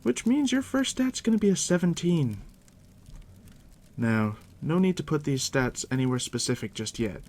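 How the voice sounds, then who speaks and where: A man speaking softly and unhurriedly as a game master in an ASMR roleplay, explaining things straight to the listener in a hushed, gravelly voice. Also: fairly high pitch. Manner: unhurried, conversational, addressing the listener directly. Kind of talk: soft-spoken ASMR roleplay, explaining tabletop game rules. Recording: steady background noise, fire crackle. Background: crackling fire.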